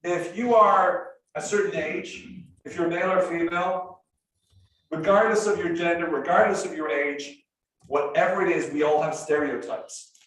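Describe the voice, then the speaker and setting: A man with an Irish accent giving a sales training talk to a live group. He explains things step by step with energy, and his voice drops softly at the ends of phrases. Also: fairly high pitch, moderate pace, recorded from a distance. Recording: roomy echo, speaker off-mic.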